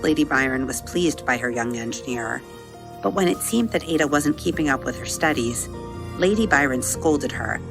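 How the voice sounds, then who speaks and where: Low-voiced woman narrating a children's story, slightly creaky. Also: a bit bright. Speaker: podcast host and story narrator.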